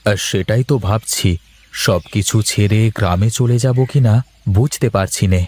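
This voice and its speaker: A man's voice: fairly low pitch, normal speed, a little mellow, close to an everyday voice. A man voicing a worried, weary office worker and husband in a cartoon, grumbling in a plain-spoken way. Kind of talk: acted cartoon dialogue.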